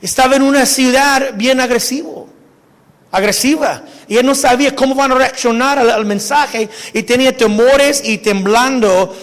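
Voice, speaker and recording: A man preaching a casual, halting sermon, often restarting words and dropping slang into his talk. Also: very high-pitched, a bit bright, big-room sound. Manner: casual preaching mixing Spanish with English slang. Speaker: pastor. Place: church sanctuary.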